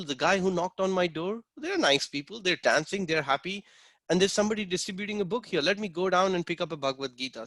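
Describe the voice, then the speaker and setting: Man, high voice, dry close sound, earnest teaching tone, video call. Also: fairly bright tone, no echo. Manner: earnest, instructive, acts out street dialogues. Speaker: book distribution instructor. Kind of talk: online class talk.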